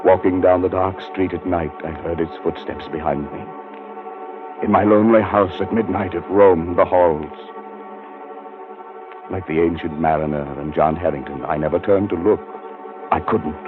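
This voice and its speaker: Deep-voiced haunted man played by a radio actor. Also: fairly even intonation, natural voice. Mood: grave dread. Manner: dramatic, deliberate, theatrical delivery. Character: haunted, dread-ridden man narrating his own doom. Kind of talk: vintage radio horror acting, first-person narration. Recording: dull old radio recording, music behind.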